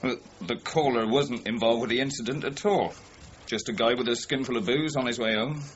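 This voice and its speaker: An actor playing a plain-speaking junior detective in a radio crime drama, colloquial and methodical. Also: male voice, medium pitch, fairly quick, close to an everyday voice. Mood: speculating. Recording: dull lo-fi audio, faint noise.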